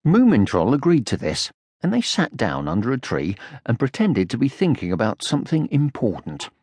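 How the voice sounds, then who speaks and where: Male narrator, scripted children's story, very lively intonation, medium pitch, close dry recording. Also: normal speed, breathy edge, dry recording. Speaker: audiobook narrator.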